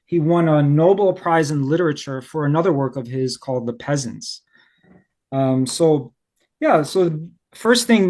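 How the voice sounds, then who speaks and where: A man hosting a casual interview, putting questions in a tentative, meandering, conversational way. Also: fairly high pitch. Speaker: interviewer, host.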